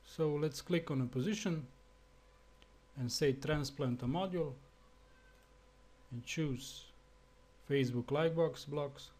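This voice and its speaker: Slovenian man giving a halting tutorial. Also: on the high side, darkish tone. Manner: halting, with fillers and restarts. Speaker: web developer. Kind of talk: screencast tutorial.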